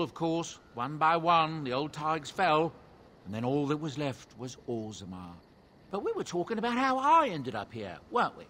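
An actor voicing a genial middle-aged dwarf merchant in a fantasy video game, talking like a theatrical, wistful storyteller. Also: male voice, fairly high pitch, a bit bright, a little hiss or hum.

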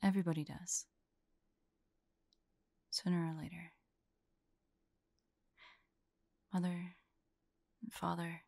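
A woman acts out a forlorn young huntress in an intimate audio roleplay. She speaks slowly and hesitantly, soft enough to slip into whispers, with a creaky fry at the edges. The sound is dry and close, and her manner is guarded yet vulnerable and affectionate. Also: fairly low pitch, little pitch movement, no echo. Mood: wistful, vulnerable. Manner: hesitant, soft-spoken, halting, often drifting into whispers.

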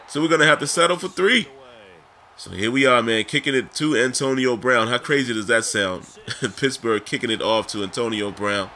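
A man hosting a gameplay video with casual commentary addressed to viewers, his voice scratchy and raspy from being under the weather. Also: mid-range voice, sharp tone. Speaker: gaming video host.

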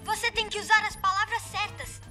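Earnest, high-voiced boy motorcycle. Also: steady tone, a little fast. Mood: impatient. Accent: Brazilian. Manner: instructive, urgent. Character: earnest, bossy young boy rescue motorcycle. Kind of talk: children's cartoon dub. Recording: background music.

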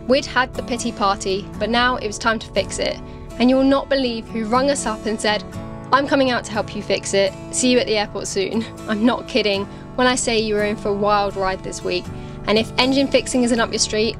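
A woman giving upbeat scripted voice-over narration for a sailing video. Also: medium pitch. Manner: upbeat storytelling. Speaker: channel narrator.

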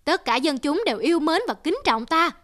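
A woman's voice: high voice, lively intonation, brisk, a bit bright. A woman voicing a vain, tyrannical old king in a cartoon folktale, imperious and pompous. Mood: self-satisfied.

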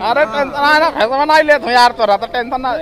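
A man's voice: very high voice, quick pace. A man, chatting and bantering casually at an outdoor village market. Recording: noisy crowded outdoor market. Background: loud crowd and street noise.

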